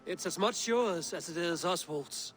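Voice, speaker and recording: A commanding Viking leader for a game, in a slightly high male voice. He is measured and decisive, with faint music behind him. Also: lively intonation, fairly bright tone. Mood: warm resolve. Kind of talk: dramatic video game acting.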